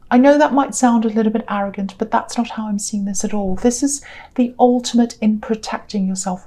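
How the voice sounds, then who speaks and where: A tired woman with a croaky voice giving a gentle, reassuring tarot reading in a casual, rambling way. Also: medium pitch. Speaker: tarot reader.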